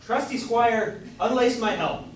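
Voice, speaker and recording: A man's voice: very high voice, measured pace. A man playing a pompous, mock-heroic knight errant, declaiming in archaic English in an amateur staged comedy reading. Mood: grandly heroic. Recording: very echoey room, distant mic, faint noise.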